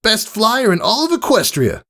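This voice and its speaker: A man's voice: high voice, sing-song, moderate pace, pushed and bright, voice pushed up, exaggerated voice. A man voicing a brash, cocky young female pegasus pony with casual, slangy talk in a storybook reading. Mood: cool, confident. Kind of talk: character voice in fan fiction reading.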